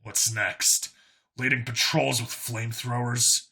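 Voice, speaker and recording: A blunt, self-assured human cop, acted by a male narrator, talks plainly and with a sardonic streak. The voice is raspy and very bright, in a mid male register, for a science fiction audiobook. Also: mid-range voice, little pitch movement, slow, full character voice.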